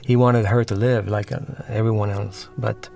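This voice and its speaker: A man, the film's director, talking through a commentary track and often pausing and restarting as he searches for words. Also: lowish voice, flat delivery, gravelly, a little mellow, soft background music. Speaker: film director.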